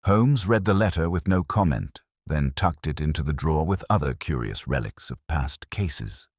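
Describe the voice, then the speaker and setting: A man narrating a scripted first-person mystery story in a measured, formal, literary manner. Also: a bass voice, animated, a little mellow, slightly rough, no room sound, clean studio sound. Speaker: audiobook narrator.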